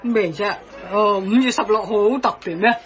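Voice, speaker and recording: A woman's voice: mid-range voice, moderate pace, close to an everyday voice. An actress playing a caring, chatty woman serving customers in a Hong Kong Cantonese radio drama. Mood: surprised, curious. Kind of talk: satirical radio drama acting. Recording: dull broadcast audio, busy crowd sound.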